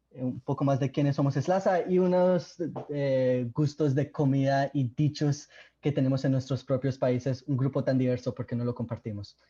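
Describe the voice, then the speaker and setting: A man's voice: on the high side, mellow, highs cut off. A young man co-hosting a campus radio show, chatting in a casual, upbeat way with some fillers. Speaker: radio co-host.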